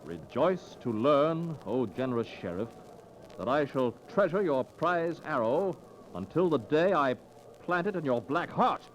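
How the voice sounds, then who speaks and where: A man's voice: fairly high pitch, sing-song, measured pace, lo-fi sound, slight background noise. An actor playing a sheriff's knightly henchman, declaiming theatrically in archaic old radio style. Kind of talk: 1940s radio acting.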